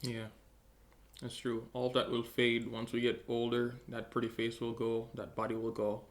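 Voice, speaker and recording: A man from Jamaica co-hosting a casual advice chat, calm and low-key with dry humor. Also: normal speed. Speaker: co-host.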